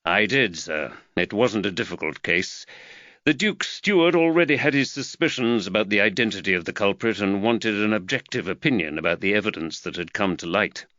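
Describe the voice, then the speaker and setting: A man voicing a polite, measured detective with a dry wit, close to his own voice. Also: fairly low pitch, expressive, sharp tone, dry recording, muffled recording. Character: calm, dry-witted young male detective.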